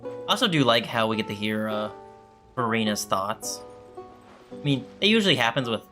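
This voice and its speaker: A man's voice: medium pitch, sing-song, normal speed, music in the background. A man giving casual commentary as a gaming streamer, rambling and thinking aloud.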